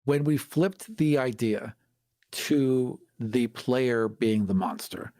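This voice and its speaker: A man's voice: medium pitch, takes it slowly, warm tone, dry recording, clean studio sound. A man co-hosting a podcast in a casual discussion about gaming. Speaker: podcast co-host.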